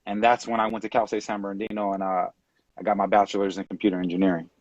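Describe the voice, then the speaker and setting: A man in his late twenties from California, talking casually as an interview guest on a video call, relaxed and rambling with many fillers. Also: fairly low pitch, rapid-fire, no echo. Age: late 20s. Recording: dull dry video-call audio.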